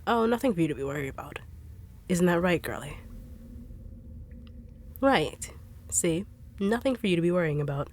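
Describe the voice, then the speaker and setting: A no-nonsense, teasing bodyguard, voiced by a woman with a low voice. She talks dryly and bluntly in an audio roleplay. Faint hiss sits behind her. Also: animated, moderate pace, long pauses, darkish tone. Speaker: voice actor.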